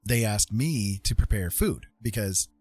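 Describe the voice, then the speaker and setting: A man's voice: lowish voice, animated, measured pace, a little mellow. A man hosting a livestream, telling a personal story in a casual, rambling and informal way. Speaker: livestream host.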